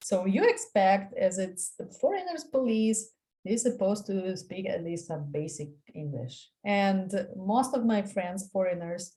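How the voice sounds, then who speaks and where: Mellow-voiced Slavic woman telling stories. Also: mid-range voice, unhurried. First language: a Slavic language. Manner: animated storytelling with quoted voices; frank, swears casually. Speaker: guest. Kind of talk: casual interview chat.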